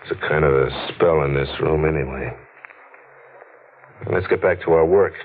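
Tough wry detective type, real deep voice, slangy 40s radio drama, tinny noisy old recording. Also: male voice, moderate pace. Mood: brisk, puzzled. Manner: clipped, slangy tough-guy talk. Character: hard-boiled streetwise male investigator, wry and skeptical. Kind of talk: 1940s radio mystery acting and narration.

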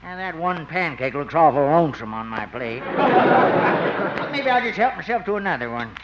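An actor playing a chummy, giggly, folksy doctor in a 1950s radio comedy. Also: male voice, fairly high pitch, very animated, moderate pace, crowd noise. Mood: coy, greedy. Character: chummy, greedy, giggly doctor friend, mildly boastful. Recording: very noisy, muffled old radio recording.

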